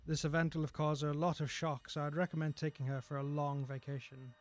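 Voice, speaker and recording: A male actor plays a kindly, slightly bumbling Victorian village doctor in a radio drama. His voice is fairly high and he speaks in a measured, reassuring way. The recording is dry and close. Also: flat delivery, dry recording, close to an everyday voice. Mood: reassuring, candid.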